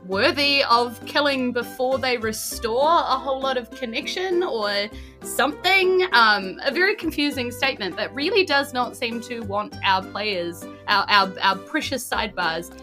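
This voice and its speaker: Bright, animated woman game master. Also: on the high side, moderate pace, faint music behind. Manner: excited. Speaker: dungeon master, host. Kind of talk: narrating a recap for a tabletop game podcast.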